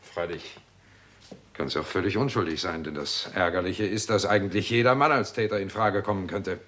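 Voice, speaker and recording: An actor playing a suspicious male investigator in a 1960s German radio crime drama, brisk and commanding. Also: low voice, a bit bright, slight background noise. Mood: probing, sardonic.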